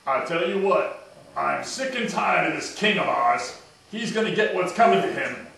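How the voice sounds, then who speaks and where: A man acts out a bumbling puppet palace guard, disgruntled and comically conspiratorial. The voice is high and sits back from the mic in a very echoey room. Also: lively intonation, normal speed, creaky, a bit bright, exaggerated voice. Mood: conspiratorial, grumbling. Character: disgruntled, bumbling male palace guard plotting against the king. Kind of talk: amateur puppet show acting for children. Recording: echoey room, distant mic, background noise.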